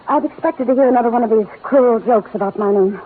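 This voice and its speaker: A haughty, indignant wife from a vintage detective radio play, acted by a woman. She speaks fast and high in a formal, icy manner. The old broadcast sounds thin and hissy, like a phone line. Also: lightly acted. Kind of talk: 1950s radio acting. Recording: tinny noisy old radio recording.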